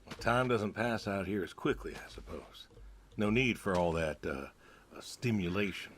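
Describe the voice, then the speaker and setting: Casting: male actor as middle-aged farmer for a narrative game, very low pitch, folksy and understated, grieving stubbornly; noisy ambient recording. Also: moderate pace, a bit bright, close to an everyday voice. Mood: dry, relaxed. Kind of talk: naturalistic dramatic game dialogue.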